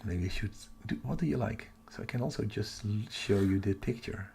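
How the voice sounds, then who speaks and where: Guy doing a casual livestream, half whispering, kinda croaky, noise in the background. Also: brisk, a little mellow. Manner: keeping voice low for sleeping household. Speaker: astronomy livestream host. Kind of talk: casual live chat with viewers.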